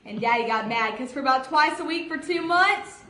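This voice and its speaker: A woman's voice: high voice, animated, normal speed, bright, forceful tone, roomy sound, slight background noise. A woman playing a naive, chatty young church girl with an American Southern accent, rambling earnestly in a comic stage monologue. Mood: chatty confessing. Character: giddy, chatty young church girl insisting she has matured.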